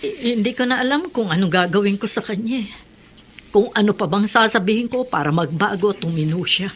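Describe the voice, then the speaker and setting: A woman's voice: mid-range voice, lively intonation, fairly quick, lightly acted. A Filipino woman playing an exasperated, scolding widowed mother in a radio drama. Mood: weary, worried. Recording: faint background noise.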